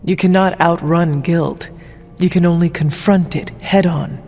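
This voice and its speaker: Solemn warrior hero, higher-pitched, tinny. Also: male voice, fairly even intonation, unhurried. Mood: grave, insistent. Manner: formal, uncontracted speech, solemn, blunt. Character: stern, resolute male warrior hero, blunt and grave. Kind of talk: video game character dialogue. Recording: thin phone-like audio, music behind.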